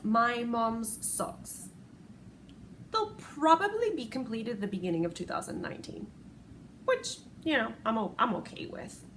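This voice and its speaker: A woman's voice: a little high, lively intonation, a little slow, fairly bright tone, a little hiss or hum, low-quality recording. Chatty woman podcaster, native Spanish speaker. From Puerto Rico. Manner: chatty, rambling, self-correcting with small laughs. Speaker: crochet podcast host. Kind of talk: casual solo podcast chat.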